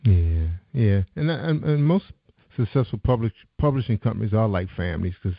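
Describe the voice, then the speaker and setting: Male host, deep gravelly tone, chatty rambling style, lo-fi dry sound. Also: expressive, normal speed, no echo. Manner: chatty and loose, rambling questions with restarts. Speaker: interview host. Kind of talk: casual interview talk.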